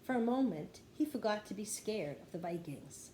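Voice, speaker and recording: A woman's voice: medium pitch, moderate pace. Woman reading a children's story, roomy echo. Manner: animated storytelling with acted dialogue. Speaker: teacher reading aloud to kindergarteners. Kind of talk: expressive children's chapter-book read-aloud. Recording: roomy echo with light background noise.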